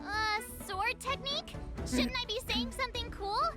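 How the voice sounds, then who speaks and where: A woman voicing a perky, eager teenage girl learning swordplay in an anime-style video game, bubbly and expressive. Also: very high-pitched, moderate pace, over loud music. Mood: playful.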